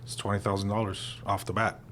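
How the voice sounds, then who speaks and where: A man's voice: fairly low pitch, brisk, slight background noise. A man in his forties, co-hosting a real estate chat show, talking casually with lots of fillers. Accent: American. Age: 40s. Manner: relaxed, rambling with many fillers. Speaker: real estate podcast co-host.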